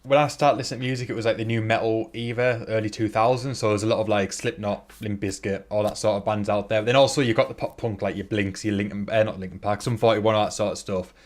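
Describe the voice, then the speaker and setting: A man's voice: on the low side, fairly even intonation, fast talker, few pauses. A man co-hosting a casual podcast, rambling and filling his talk with "like" and "sort of". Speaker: podcast co-host. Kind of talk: casual chat.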